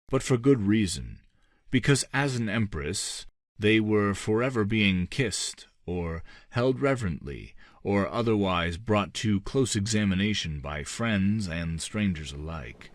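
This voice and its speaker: A man with a deep voice narrates a fantasy novel in audiobook style. His delivery is measured and deliberate, with dramatic pauses between phrases. The sound is dry, with very little room around the voice. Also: dry recording.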